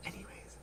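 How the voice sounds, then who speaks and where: A young woman co-hosting a podcast, telling stories in a soft ASMR whisper and rambling along. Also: far from the mic. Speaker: podcast co-host.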